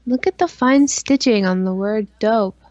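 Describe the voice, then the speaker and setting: A woman's voice: a little high, measured pace, a little mellow, no room sound, lo-fi sound. A woman co-hosting a casual livestream with goofy, crude, sweary banter. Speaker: podcast stream co-host.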